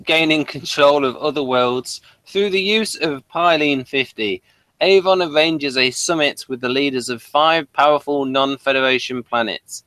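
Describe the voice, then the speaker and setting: A man's voice: on the high side, normal speed, pushed and bright, dry recording, poor audio. A man hosting a review show, reading out summaries and putting questions. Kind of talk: reading an episode synopsis, then prompting discussion.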